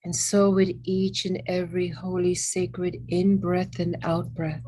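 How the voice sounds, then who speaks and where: A woman leading a spiritual guided meditation in a gentle, soothing, reverent manner, with long pauses between short phrases. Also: on the low side, little pitch movement, breathy edge, poor audio. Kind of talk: guided meditation with ASMR elements.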